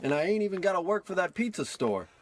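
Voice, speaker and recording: A man's voice: high-pitched, sing-song, fast talker, slight background noise. A man playing a brash, scheming small-time American huckster with slangy old-timey patter in a parody radio play. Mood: smug.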